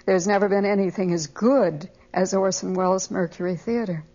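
An older woman, a veteran actress, reminiscing in an interview in a measured, earnest way. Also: medium pitch, fairly even intonation, mellow. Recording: slightly muffled interview audio.

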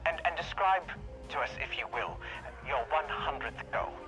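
An actor playing a polite broadcast sports interviewer in a game, formal and courteous. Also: male voice, a little high, animated, normal speed, music in the background, natural voice, poor audio.

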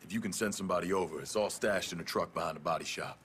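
A tough vigilante veteran in a game drama. He speaks very low, terse and dry, with determination, over noticeable background noise. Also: very fast, fairly bright tone. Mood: stern. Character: tough, laconic vigilante war veteran man. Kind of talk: video game cutscene acting.

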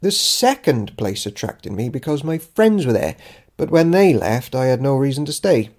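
A man's voice: medium pitch, very animated, moderate pace, darkish tone. A man reading an audiobook as Judas Iscariot, a self-important, grudging disciple keeping a diary, in a dry, theatrical, pompous manner. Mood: sardonic, disdainful. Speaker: author reading own audiobook. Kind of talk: dramatic audiobook narration of a diary.

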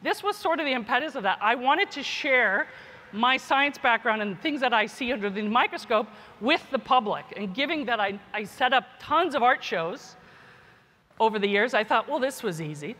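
A woman's voice: fairly high pitch, animated, normal speed, bright, forceful tone. A woman giving a conference keynote as a scientist and teacher, informal, often adding "right?" and "you know". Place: large conference hall. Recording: echoey hall, long reverb.

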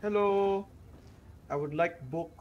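A woman's voice: medium pitch, drawn-out words, highs cut off, slight background noise. A woman giving casual gameplay commentary as a streamer, with dry, offhand joking. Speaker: game streamer. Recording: faint game music behind.

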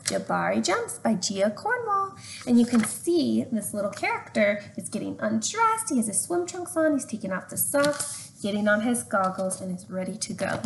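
A young woman doing an expressive read-aloud for children, with chatty questions to her listeners. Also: on the high side, moderate pace, vocal fry. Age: young adult. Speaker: storyteller reading to children. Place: open living room at home. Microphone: webcam at arm's length. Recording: slightly noisy home webcam recording.

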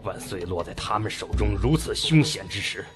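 An actor playing a grave, formal senior court minister in a Chinese period audio drama. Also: male voice, lowish voice, animated, normal speed, lightly acted. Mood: reproachful. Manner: solemn, formal. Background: music.

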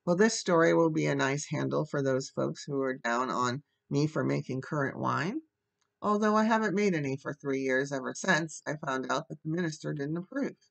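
A woman's voice: low-pitched, moderate pace, gravelly. A woman voicing a stern older female guardian in a storybook, curt and no-nonsense. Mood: exasperated.

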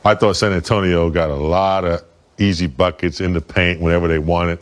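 Low-voiced older male sports analyst. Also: fairly even intonation, normal speed. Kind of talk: post-game commentary. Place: TV studio.

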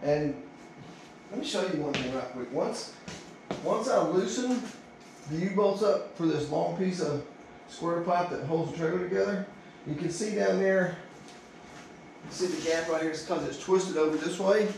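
Middle-aged man, fairly high pitch, informal muttered workshop explaining, echoey indoor camera sound. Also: normal speed, long pauses, a little mellow. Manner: folksy, informal, muttering to himself while working. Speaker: DIY vlogger. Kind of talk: casual hands-on how-to chat to camera. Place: indoor home garage workshop. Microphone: action camera, on tripod or handheld, varying distance. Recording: roomy echo, clear noise, handling clicks.

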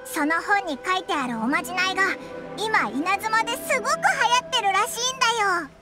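A woman's voice: extremely high pitch, expressive, a little fast, loud music behind the voice. A woman voicing a tiny, childlike fairy companion in an anime game, speaking in a blunt, boyish way. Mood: questioning. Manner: blunt, boyish rough speech. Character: tiny floating fairy companion, childlike, outspoken, easily flustered.

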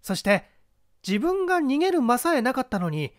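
A woman's voice: high voice, big pitch swings, moderate pace, no room sound, clean studio sound. A woman playing a clever, composed teenage boy detective, polite and self-assured, in an audio mystery story. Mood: calm, probing.

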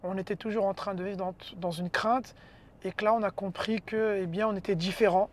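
A man from France with a French accent, giving personal testimony in a halting, hesitant way. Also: sits high, steady tone. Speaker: interviewee. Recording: clear traffic and vehicle noise.

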